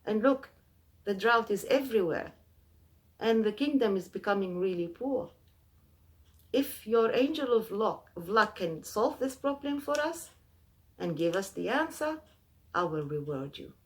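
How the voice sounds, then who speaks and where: A woman voicing a troubled king who speaks in a measured, commanding way. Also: high voice, lively intonation, a bit bright, pitched above the performer's own voice.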